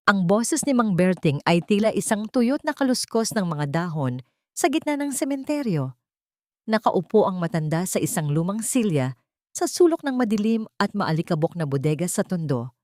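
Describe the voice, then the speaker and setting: A Filipino woman reads a dramatic audiobook story in a slightly low voice. Her delivery is melodramatic and very animated in pitch, recorded dry with little room sound. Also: no echo. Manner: expressive, melodramatic. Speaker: audiobook narrator. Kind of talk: dramatic scripted story narration.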